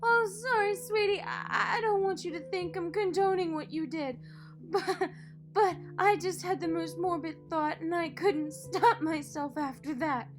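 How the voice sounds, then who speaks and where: A woman acting in an audio drama as a poised, protective, wealthy mother and executive, measured, polished and dryly witty. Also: extremely high pitch. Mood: amused, giggly. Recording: music behind the voice.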